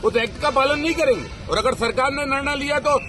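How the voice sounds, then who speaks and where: A man's voice: extremely high pitch, fast. A man giving a combative political soundbite outdoors, firing indignant rhetorical questions. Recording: noisy outdoor crowd and traffic.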